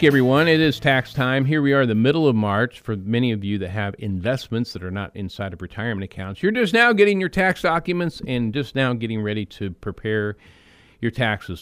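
A man hosting a financial advice radio show, explaining in a conversational way with quick asides and restarts. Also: medium pitch, lively intonation, dry recording.

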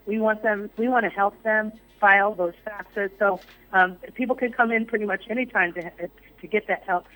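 A woman's voice: medium pitch, fairly even intonation, moderate pace, sharp tone, rough recording. A woman, a college chancellor, talking casually on a radio show with lots of ums while losing her voice. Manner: upbeat, many fillers.

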